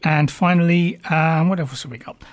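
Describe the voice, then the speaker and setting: A man presenting on radio with a croaky, hoarse voice, casual, affable and slightly halting. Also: on the high side. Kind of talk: casual on-air programme preview.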